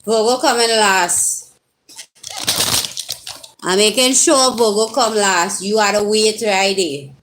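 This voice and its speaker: A woman's voice: mid-range voice, takes it slowly, pauses often, noisy background. A woman with a Trinidadian accent giving a casual live tarot reading, chatting and teasing her viewers directly with sudden rising exclamations. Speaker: tarot reader.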